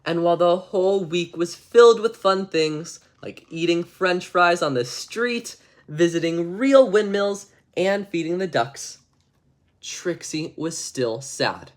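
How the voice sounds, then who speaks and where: A man's voice: high voice, slow. A man narrating a children's bedtime story expressively, chatty and casual with ad-libbed asides. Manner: expressive, chatty, ad-libbing around the text. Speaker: storybook reader.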